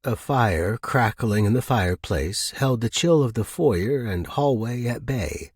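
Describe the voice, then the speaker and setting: A man's voice: medium pitch, lively intonation, measured pace, slightly rough, dry recording, studio quality. A man hosting a fiction podcast and narrating short stories. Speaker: podcast host and story narrator. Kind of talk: casual intro and scripted audiobook narration.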